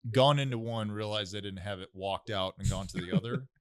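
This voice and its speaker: Deep-voiced man, relaxed podcast chat. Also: a little fast, clear, resonant tone, no echo, studio quality. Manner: relaxed, rambling storytelling with many fillers. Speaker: podcast co-host. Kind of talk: casual chat.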